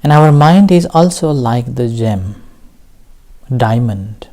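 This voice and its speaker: Very slow, mellow male meditation teacher. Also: medium pitch, animated. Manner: slow, gentle, with long pauses between short phrases. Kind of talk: guided meditation dharma talk.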